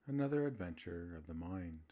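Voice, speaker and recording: A man narrating a scripted guided relaxation as a meditation host, soothing, with gentle pauses between phrases. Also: low-pitched, animated.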